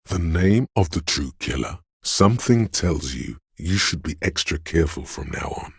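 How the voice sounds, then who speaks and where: An actor voicing a menacing inner voice of fear and violent aggression in a video game, brooding and theatrical, speaking in the second person. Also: male voice, animated, no echo, studio quality, exaggerated voice. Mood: ominous. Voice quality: very deep, gravelly, husky. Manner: brooding, theatrical, deliberate delivery with ominous weight.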